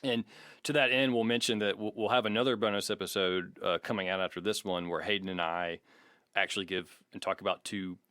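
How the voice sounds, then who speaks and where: A man with a fairly low voice talks casually as a podcast co-host. The sound is dry, with very little room around him. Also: steady tone, a little fast, fairly bright tone, no echo. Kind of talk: casual conversational podcast talk.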